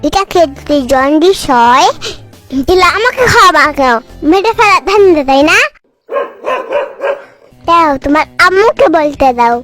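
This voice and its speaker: An extremely high, squeaky small child from a comic cartoon. The kid is cheeky and bossy, scolds and teases, and mangles words. Music plays behind the voice. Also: lively intonation, measured pace, pauses often, fairly bright tone, heavily acted. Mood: indignant.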